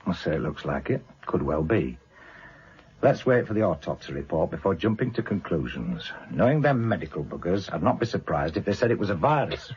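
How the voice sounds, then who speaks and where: Gruff British police inspector, lively swooping delivery. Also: male voice, low voice, brisk, exaggerated voice. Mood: cynical. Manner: gruff, sardonic, blunt. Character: grumpy, sarcastic older male British police inspector. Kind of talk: British radio drama acting. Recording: dull, lo-fi, loud car engine noise.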